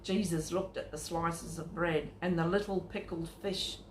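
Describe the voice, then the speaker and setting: A woman reading a children's Bible story aloud as a storyteller, with an expressive delivery aimed at young listeners. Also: on the low side, unhurried. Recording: echoey room with faint noise.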